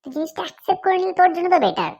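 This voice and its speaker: High, fast, indignant cartoon friend acted out. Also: very animated, vocal fry, highs cut off, heavy background noise, heavily acted. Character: indignant, opinionated friend who scolds and persuades. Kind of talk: comedy cartoon voice acting.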